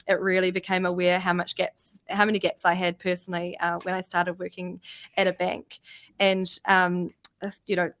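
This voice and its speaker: A woman's voice: fairly low pitch, fairly bright tone, clean tone. A woman with a New Zealand accent giving a casual, unscripted personal account as a panel guest, hesitating and repeating words. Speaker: panel guest, company co-founder.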